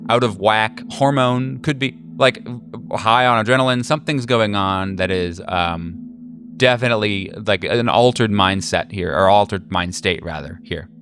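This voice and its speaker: A man running a tabletop horror game as game master, narrating casually in a halting, slangy, jokey way. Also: lowish voice, fairly bright tone, faint music behind.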